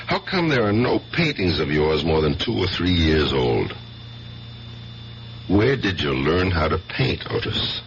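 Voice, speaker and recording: An actor playing a suspicious, relentless American interrogator in a radio drama, pressing and accusing, on a muffled vintage recording. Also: male voice, sits low, big pitch swings, measured pace, slight background noise, exaggerated voice. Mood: insistent, accusing. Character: suspicious, relentless male interrogator grilling an acquaintance.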